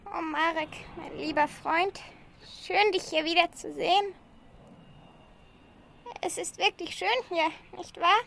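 A woman's voice: extremely high pitch, steady tone, takes it slowly, creaky, pauses often, a bit bright, slight background noise, exaggerated voice. A woman playing a lonely, caring old grandma, fussing and sighing in an amateur radio play. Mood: wistful, chatty. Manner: fussing, chatty, sighing, uses southern German dialect words.

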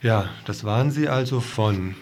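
A man's voice: fairly low pitch, moderate pace, a little mellow. A man hosting community radio news, speaking in an informal, plain-spoken way as he signs off. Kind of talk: informal live sign-off.

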